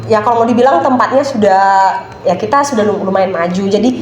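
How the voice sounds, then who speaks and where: A young woman from Nias, a podcast guest chatting casually, often pausing and searching for words. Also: medium pitch. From Nias, Indonesia. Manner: hesitant, searching for words with many fillers. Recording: roomy studio with faint noise.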